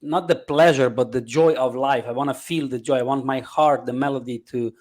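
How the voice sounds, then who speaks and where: Male podcast guest, Slovenian accent, medium pitch, conversational, speaks in clipped lists and fragments. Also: fairly even intonation, a little fast, a little mellow. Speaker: podcast guest, life coach. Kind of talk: conversational interview answers.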